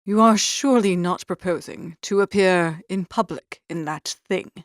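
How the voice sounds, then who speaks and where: A woman playing a deferential but disapproving male valet in an audiobook, hushed, formal and restrained. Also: lowish voice, moderate pace, dry recording, clean studio sound, natural voice. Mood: pained disapproval. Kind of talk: audiobook character acting.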